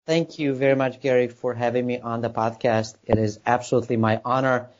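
A man's voice: mid-range voice, fairly even intonation, brisk. A man from Serbia with a Serbian accent, a podcast guest speaking in an upbeat, earnest way. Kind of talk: casual podcast interview. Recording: dull lo-fi podcast audio.

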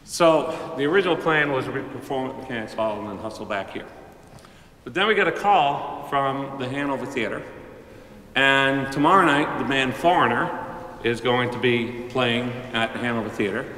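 A middle-aged man, a high school chorus director, chatting casually to a concert audience from the stage with plenty of ums and asides. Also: normal speed, pushed and bright. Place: large school auditorium stage. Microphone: mic on a stand, speaking from among the choir. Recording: roomy hall reverb with faint audience ambience.

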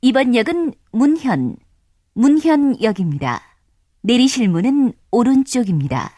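Lively, polite woman subway announcer. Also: fairly high pitch, no room sound, clean studio sound. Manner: polite, formal, measured. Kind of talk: recorded station announcements.

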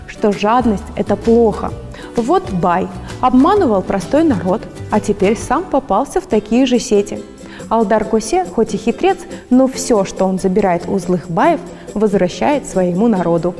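A woman's voice: medium pitch, mellow, big-room sound. Woman narrating for children over music. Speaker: lecturer.

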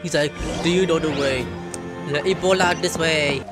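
A man giving casual gameplay commentary, excitable and playful, prone to swearing. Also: fairly high pitch, normal speed. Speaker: gaming streamer. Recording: loud game music behind. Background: loud game music.

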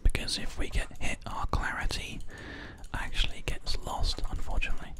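Whispering ASMR gamer giving play-by-play. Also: moderate pace. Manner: hushed, unvoiced whispering. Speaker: host, gamer. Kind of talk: whispered ASMR game commentary. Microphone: close binaural microphone. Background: keyboard and mouse clicks.

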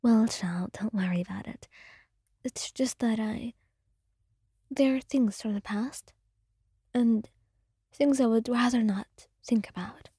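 Shy affectionate young woman in hushed tones, voiced by a woman; husky, dry and close. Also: on the high side, takes it slowly, pauses often, no echo, exaggerated voice. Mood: hesitant. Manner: soft whispered, hesitant.

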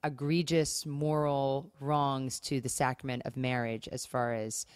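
A woman's voice: very deep voice, level tone, measured pace, darkish tone, smooth, dry recording, clean studio sound. A woman co-hosting a podcast, talking casually and earnestly, with emphatic points and rhetorical questions. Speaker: podcast co-host. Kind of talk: casual conversational talk on marriage and faith.

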